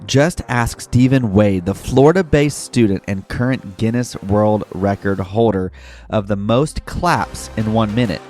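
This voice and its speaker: A man's voice: lowish voice, unhurried, warm tone. A man hosting an educational podcast for children, speaking directly and encouragingly to young listeners as he presents facts and games. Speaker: host of a kids' educational podcast. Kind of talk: scripted narration and game hosting for children. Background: background music.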